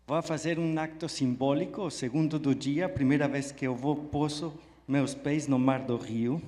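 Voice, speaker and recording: Man from Belgium, French and Spanish accent, mid-pitched voice, enthusiastic conference talk, long hall reverb. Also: normal speed, a little mellow. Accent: French and Spanish, speaking Portuguese mixed with Spanish. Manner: friendly, enthusiastic, mixing Spanish words into Portuguese. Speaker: conference speaker. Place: large hall at a live event. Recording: echoey hall sound.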